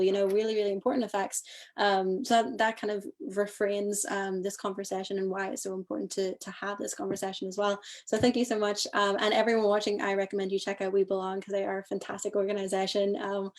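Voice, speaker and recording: Young woman hosting an online panel, steady flat-ish voice, lots of ums. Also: mid-range voice. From Northern Ireland. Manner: thoughtful, hesitant with fillers. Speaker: panel host.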